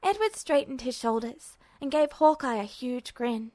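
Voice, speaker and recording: A woman reading a fan fiction story aloud with steady narration. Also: high voice, normal speed. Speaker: podfic reader.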